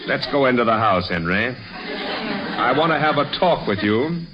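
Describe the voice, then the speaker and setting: A man's voice: medium pitch, sing-song, a little slow, a crowd in the background. A man playing a harried, exasperated middle-aged American father in 1940s radio sitcom style. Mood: stern, conciliatory. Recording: muffled, noisy old radio recording.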